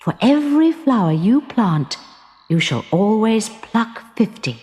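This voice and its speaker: Woman as a gracious, unhurried meadow spirit. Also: mid-range voice, big pitch swings, a little mellow, slightly rough, big-room sound. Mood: gracious, firm. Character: gracious female green spirit of the meadows, gently firm.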